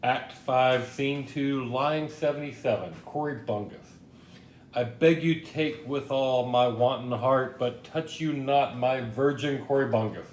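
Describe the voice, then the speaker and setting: A woman's voice: unhurried, darkish tone. A woman playing a stubborn, eccentric male customer in a comic play, insistent and easily indignant. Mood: grandly reciting. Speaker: actor in a student play. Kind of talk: comic stage play dialogue. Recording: roomy echo, low rumble, faint noise.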